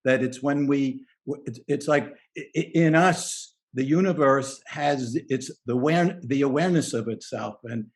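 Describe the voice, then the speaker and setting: Man, participant in an online discussion, eager, rambling, mid-pitched voice. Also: normal speed. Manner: enthusiastic, rambling, many restarts. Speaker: symposium participant. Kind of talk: spoken comment in online discussion. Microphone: video call.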